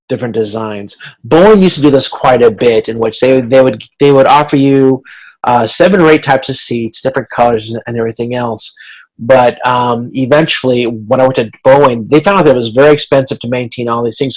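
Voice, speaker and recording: A man's voice: medium pitch, low-quality recording. A man with a mid-range voice teaches as an instructor in an informal online lecture. He explains step by step, often restarting phrases and repeating words as he goes. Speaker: college instructor. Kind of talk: video conference lecture explaining slides, informal.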